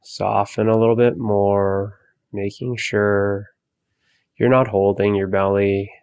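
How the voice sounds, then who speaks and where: A man leading a guided meditation for focus, giving soft, calm body-scan instructions with long pauses. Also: on the low side, clean tone, no room sound.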